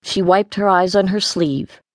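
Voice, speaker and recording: A woman's voice: mid-range voice, measured pace, no room sound, clean studio sound. A woman reading a story aloud as an audiobook narrator, in scripted narration.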